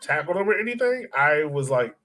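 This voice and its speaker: A man's voice: fairly high pitch, sing-song, fairly quick, fairly bright tone. An American man hosting a soccer podcast, talking casually and steering the discussion in an inquisitive, conversational way. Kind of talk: casual conversational sports talk.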